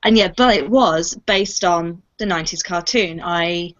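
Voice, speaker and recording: A woman's voice: on the low side, unhurried, lo-fi sound. A young woman chatting casually about her fandom as a cast member on a panel. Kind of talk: casual fan chat.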